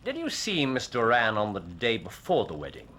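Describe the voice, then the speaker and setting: An actor playing a shrewd, imperious consulting detective with an English accent, questioning formally in a period drama. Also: male voice, fairly low pitch, wildly swooping pitch, moderate pace, bright, forceful tone, exaggerated voice. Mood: probing, measured. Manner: incisive, theatrical, formal questioning.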